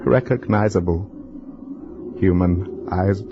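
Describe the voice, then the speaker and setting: A low-pitched, educated man gripped by dread in an old haunted house. His delivery is formal and halting, full of weighted pauses, in the manner of a radio horror play. The sound is dull, with plenty of noise behind him. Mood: mounting dread. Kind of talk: dramatic first-person horror monologue, radio drama. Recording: dull, lo-fi, noisy radio drama recording.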